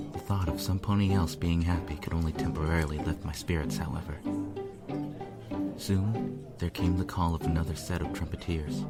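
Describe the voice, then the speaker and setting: A man reading a first-person story aloud as narrator, with an earnest, measured, brooding delivery. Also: low-pitched. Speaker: fan fiction reader, narrator. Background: instrumental music.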